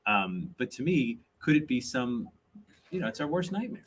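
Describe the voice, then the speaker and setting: A man's voice: medium pitch, sing-song, moderate pace, a little hiss or hum. A man in his forties hosting a live podcast, chatty and joking. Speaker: podcast host. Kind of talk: casual live podcast chat. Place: live event stage.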